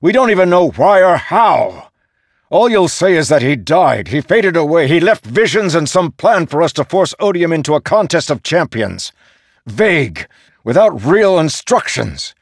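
A man's voice: deep voice, animated, moderate pace, dry recording. A commanding general, voiced by a male audiobook narrator, worn down yet stubbornly defiant. His male voice is earnest and demanding in a dramatic fantasy reading, with a dry, close sound. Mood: angry.

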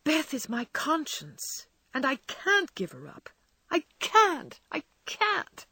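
A woman's voice: high-pitched, huge pitch swings, unhurried, bright, forceful tone, breathy edge, no room sound, pitched above the performer's own voice, exaggerated voice. A woman voicing a spirited young woman in grief, whose speech rises into emotional cries. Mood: distraught.

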